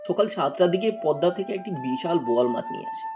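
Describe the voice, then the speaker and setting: A man with a fairly high voice reads scripted story narration. Soft music plays underneath as he tells the tale. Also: wildly swooping pitch, quick pace.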